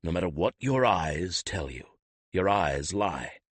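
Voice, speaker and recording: A man voicing a stern older male weapons master who rasps harshly with oddly inverted phrasing. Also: sits low, very animated, normal speed, dry recording, heavily acted. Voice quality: rasping, clipped inverted phrasing.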